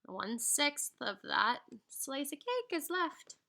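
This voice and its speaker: A woman reading a children's picture book aloud in an animated, singsong storyteller's way, with teacherly asides. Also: high-pitched, slow pace, gravelly.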